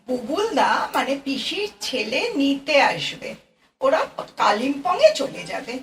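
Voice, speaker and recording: A woman playing a young niece in an audio play, teasing and solicitous, full of exclamations and gentle bossing. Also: sits high, recorded from a distance, slight background noise, full character voice. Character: caring, teasing young niece seeing her aunt off. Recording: roomy, echoing, away from mic.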